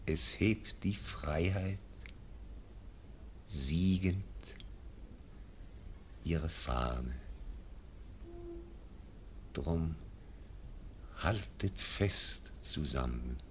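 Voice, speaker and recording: An actor playing a frail, dying old nobleman, speaking verse slowly and fading into whispers. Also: rumbling low, a bit bright, slight background noise, heavily acted. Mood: solemn. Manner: slow, fading, drops into whispers. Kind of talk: classic radio drama verse.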